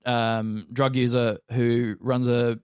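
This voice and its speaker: An Australian man chatting casually as a player on a gaming podcast, halting and jokey as he describes things. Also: medium pitch, level tone, darkish tone, clean tone, dry recording, clean studio sound. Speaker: actor and player on a tabletop role-playing podcast. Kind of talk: casual chat.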